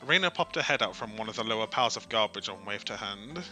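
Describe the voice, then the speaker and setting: A man reading a visual novel aloud as a streamer, in expressive story narration. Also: lowish voice, fast. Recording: music behind the voice.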